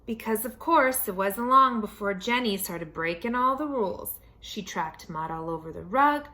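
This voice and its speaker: A woman's voice: medium pitch, animated, bright, forceful tone. Woman reading a picture book expressively. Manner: dramatic emphasis and pauses. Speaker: children's storybook reader.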